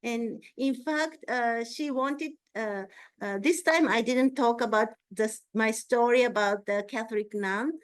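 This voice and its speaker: A woman Zen teacher answering a student, speaking haltingly with pauses and frequent agreeing murmurs. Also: on the high side. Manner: halting, self-conscious about her English, frequent laughs; slow and warm, many soft agreeing murmurs. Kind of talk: Q&A after a dharma talk.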